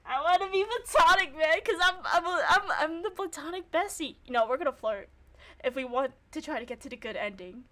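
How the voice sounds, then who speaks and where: A young woman with a slightly high voice streams a game. She moves between reading narration and casual, animated commentary. Her manner is self-deprecating, with easy swearing. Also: brisk.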